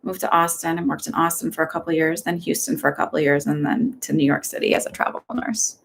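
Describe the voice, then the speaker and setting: A middle-aged woman from Texas with a slightly low voice, talking in a chatty, rambling way. Her delivery is upbeat and gently reassuring as she gives casual live readings to viewers. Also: fairly even intonation, quick pace, vocal fry. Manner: gentle and reassuring when giving advice. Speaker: psychic medium giving live readings.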